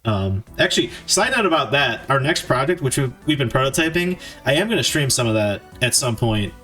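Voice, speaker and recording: A man with a mid-pitched voice streams himself developing a game, with music behind him. He talks casually to viewers and thinks out loud in stops and starts. His manner is informal and self-deprecating. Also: lively intonation, a little fast. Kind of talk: casual live-stream chat while coding.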